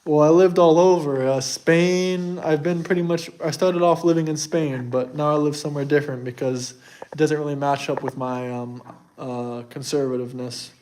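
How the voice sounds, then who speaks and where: Young man, from the US, higher-pitched, boastful, defensive, casual stream talk. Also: steady tone, moderate pace, darkish tone. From United States. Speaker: streamer answering chat. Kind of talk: casual live chat.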